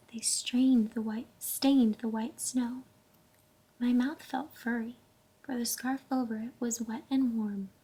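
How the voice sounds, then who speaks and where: A woman reading a children's story aloud in a gentle, hushed, unhurried way, pausing to put questions to her listeners. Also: fairly high pitch, slightly rough, low-quality recording. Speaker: storybook reader.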